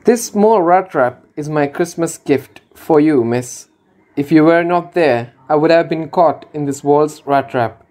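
A man's voice: on the high side, a little slow, a little mellow, natural voice. A man playing a poor, humble wandering rat trap seller in an amateur radio drama, speaking earnestly and politely. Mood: sincere.

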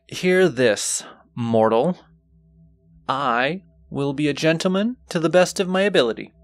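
A flirtatious male demon , acted by a man, drawls very slowly in a medium-pitched voice. He is teasing, seductive and gleefully wicked, speaking straight to the listener in an intimate audio roleplay. The sound is close and dry. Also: dry recording. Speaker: voice actor.

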